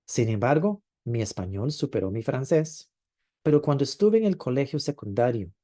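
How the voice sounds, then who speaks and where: Male preacher, warm dark tone, reflective delivery with pauses and drawn-out emphasis. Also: mid-range voice, expressive. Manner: thoughtful, with frequent hesitations and drawn-out stresses. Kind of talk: sermon.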